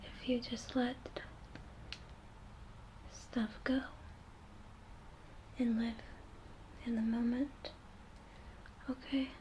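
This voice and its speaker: A young woman whispering a gentle, soothing ASMR role play, reassuring the listener up close in a small room. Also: fairly high pitch, level tone, very slow, long pauses, a little hiss or hum. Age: young adult.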